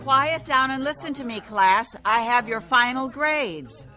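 A woman's voice: a little high, expressive, unhurried, over music. An actress playing a stern female schoolteacher in a radio drama, commanding and projected as if addressing a class. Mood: stern, announcing.

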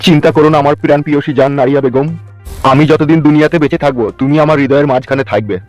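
A man's voice: fairly high pitch, fairly even intonation, racing, darkish tone, close to an everyday voice. Man voicing a syrupy, cheeky cartoon lover. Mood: adoring. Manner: syrupy, flowery, lecherous. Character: gushing, cheeky male lover with big teeth, newly wed. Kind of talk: amateur comedy cartoon dubbing. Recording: faint music behind a dry voice.

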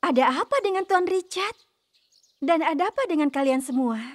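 A woman voicing a gentle, caring young deer who teaches kindergarten, speaking affectionately in a children's cartoon. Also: very high voice, animated, normal speed. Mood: friendly, curious. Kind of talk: children's cartoon dub in Indonesian.

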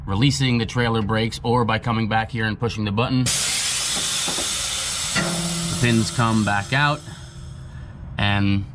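A man's voice: lowish voice, fairly even intonation, measured pace, long pauses, heavy background noise. Plain-spoken man explaining truck repair. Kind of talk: hands-on technical demonstration. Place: outdoor gravel lot by trailers.

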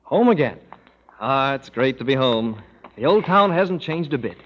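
An actor playing an earnest, gently witty young man proposing marriage in a 1930s radio drama. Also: mid-range voice, very animated, moderate pace, slight background noise, close to an everyday voice. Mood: cheerful. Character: earnest young suitor Felix, warm and witty. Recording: muffled old radio broadcast in a hall.

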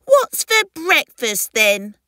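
A woman's voice: high voice, huge pitch swings, takes it slowly, pushed and bright, no room sound, clean studio sound, voice pushed up, exaggerated voice. A woman voicing a bold, stubborn baby boy dinosaur who exclaims and insists in a children's storybook. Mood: excited, insistent.

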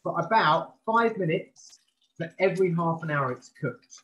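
A man's voice: fairly high pitch, moderate pace, a bit bright, dull audio. Guy chef doing a live cooking demo on video call, chatty explaining, standing in a kitchen. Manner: explanatory, informal. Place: professional kitchen, indoors. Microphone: video call, standing back from camera.

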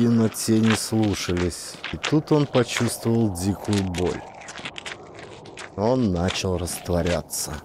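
A man's voice: lowish voice, background noise. A man reading scripted fiction as a dramatic audiobook narrator.

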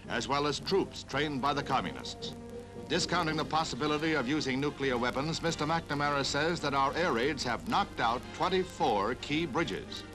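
Man, American accent, 1960s newsreel narrator, formal announcer delivery, mid pitch, prominent music behind. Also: rough recording.

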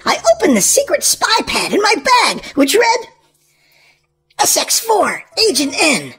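A woman hosting a children's read-aloud, narrating in an exaggerated, sing-song, expressive way for small children. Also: extremely high pitch, unhurried, soft background music. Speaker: host and reader of a children's read-aloud show. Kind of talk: animated children's storybook narration.

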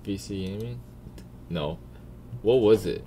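A man's voice: fairly low pitch, takes it slowly, mellow. A man giving blunt, informal reaction commentary as a gaming video creator, swearing casually. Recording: faint background noise, keyboard typing. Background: computer keyboard typing and clicks.

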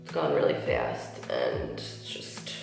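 A woman's voice: a bass voice, slow, creaky. Chatty woman in an echoey bathroom. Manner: chatty, lots of "like", soft asides while applying makeup. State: slightly nasal, getting over a cold. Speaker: beauty vlogger. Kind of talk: casual makeup tutorial chat. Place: large tiled bathroom, indoors. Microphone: camera mic at close range, seated facing camera. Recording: echoey bathroom with faint music.

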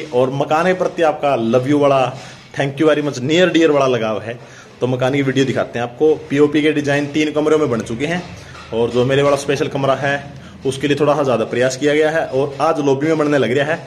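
A man's voice: medium pitch, slight background noise. A young man with a Haryanvi accent talks casually and chattily to viewers as a vlogger, filming on a handheld phone. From Haryana, India. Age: young adult. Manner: chatty, repeatedly addresses viewers as brother. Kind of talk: casual vlog commentary. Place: unfinished concrete house, indoors and at doorway.

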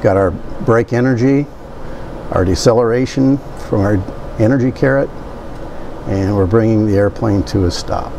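A man in his fifties speaks in a lowish register. He explains a technical procedure conversationally, as an instructing pilot. A clear bed of noise sits behind him. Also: moderate pace.

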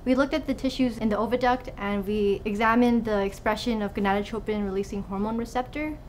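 A woman's voice: mid-range voice, steady tone, fairly quick, darkish tone, slight background noise, rough recording. A woman in her twenties from Hawaii, a student researcher giving informal interview answers in a laboratory. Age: 20s. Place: indoors in a laboratory.

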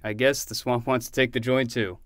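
A man's voice: medium pitch, little pitch movement, brisk, fairly bright tone, no echo, lightly acted. Man playing a fussy retired uncle, dry recording. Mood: gentle, resigned. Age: older. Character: retired, fussy, affectionate uncle of a young boy. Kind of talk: fiction podcast acting.

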